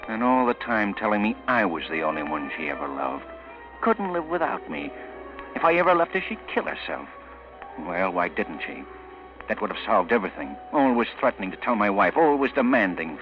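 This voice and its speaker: An actor playing a tormented, obsessive murderer in a halting, dramatic inner monologue for old-time radio. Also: male voice, fairly low pitch. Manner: dramatic, halting, repeating himself. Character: tormented, obsessive male murderer, brooding and unravelling. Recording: dull old radio, music behind.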